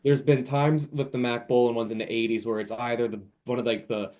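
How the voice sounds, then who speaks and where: A man with a mid-pitched voice is a guest author on a podcast. He chats casually about books, informal and emphatic, and freely gives his opinions. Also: flat delivery, fairly quick, a little mellow. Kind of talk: casual book chat.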